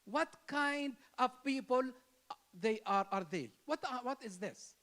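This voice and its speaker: A man's voice: very high-pitched, expressive, a little slow, fairly bright tone. Impassioned man with a Palestinian Arabic accent. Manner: emphatic, repetitive, rising to stress key words. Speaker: speaker at an interfaith gathering. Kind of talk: impassioned speech.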